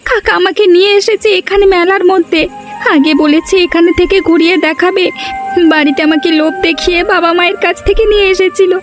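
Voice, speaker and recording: A woman's voice: very high-pitched, steady tone, a little fast, over music. A woman voicing a frightened, pleading little village boy in a cartoon. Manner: plaintive, pleading. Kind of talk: cartoon dub acting.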